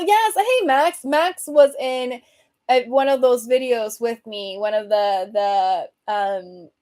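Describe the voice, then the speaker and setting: A woman's voice: a little high, darkish tone. A young woman from Venezuela with a Venezuelan accent, casually chatting on a livestream and reading viewer comments aloud with lots of fillers. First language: Spanish. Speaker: host.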